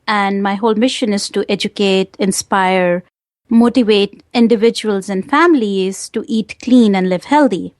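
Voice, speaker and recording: A woman's voice: mid-range voice, a little mellow, no room sound, studio quality. Earnest Indian-accented woman guest. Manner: earnest, measured, hesitant fillers. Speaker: guest, wellness coach. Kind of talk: interview answers.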